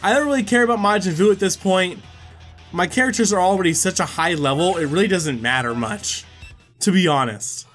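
A man's voice: high voice, fairly quick, a bit bright, soft background music. A man giving casual, rambling gameplay commentary as he plays, swearing freely and often repeating himself. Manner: profane, rambling, often repeats words and exclaims. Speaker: gaming video creator and player.

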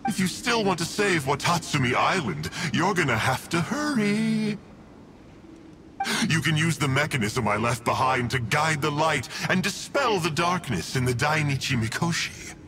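A man's voice: a little high, very animated, normal speed. A man playing a smug, scheming villain in a video game, glib, theatrical and mocking, with playful flippancy. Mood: flippant. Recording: music playing behind the voice.

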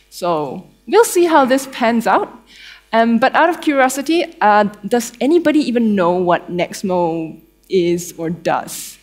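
A young woman with a Malaysian accent giving a tech conference talk on stage in a conversational, playful way with dry humour. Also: medium pitch. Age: young adult. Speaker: conference speaker, developer advocate. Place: theatre stage before an audience.

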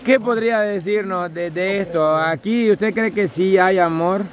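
A man's voice: high voice, measured pace. A Salvadoran man hosting a casual chat outdoors, teasing and prompting the others to speak. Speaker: host.

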